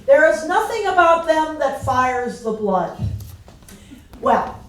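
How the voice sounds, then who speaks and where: A woman's voice: high-pitched, big pitch swings, slow pace. An American woman from Illinois hosting a live event, welcoming and introducing the show to an audience. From Illinois, United States. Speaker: host. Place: echoey event hall with seated audience. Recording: echoey hall, distant mic, faint noise.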